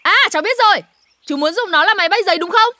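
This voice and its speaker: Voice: very high-pitched, expressive, fast talker, noisy background. A voice actor playing a cheerful, helpful young panda in a children's cartoon, eager and full of exclamations. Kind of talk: children's cartoon dub acting. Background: loud cartoon background music.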